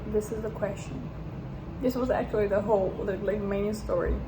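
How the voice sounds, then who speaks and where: A woman's voice: medium pitch, fairly even intonation, normal speed, background noise. A young woman from Turkey, a non-native English speaker, talking casually to camera in a rambling, hesitant way with many restarts. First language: Turkish. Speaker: vlogger. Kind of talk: casual personal vlog.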